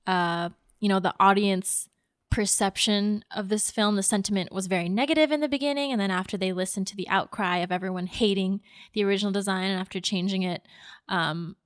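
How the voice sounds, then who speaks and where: A woman's voice: medium pitch, steady tone, fairly quick, clean tone, no room sound, clean studio sound. A woman hosting a podcast in a casual, conversational chat about films. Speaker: podcast host. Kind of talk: casual film discussion chat.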